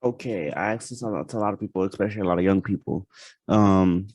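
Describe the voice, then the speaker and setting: Man, very low voice, interview host, rambling with fillers, dry sound. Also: quick pace, darkish tone, dry recording, lo-fi sound. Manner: rambling questions with many fillers and restarts. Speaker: podcast host. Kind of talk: casual interview.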